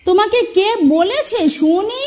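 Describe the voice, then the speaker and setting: A bossy older mother-in-law in a cartoon folk tale, voiced by a woman. Her voice is strikingly high, and she has a nagging, folksy way of talking. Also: lively intonation, measured pace, darkish tone. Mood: scolding. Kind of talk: cartoon fairy-tale dialogue.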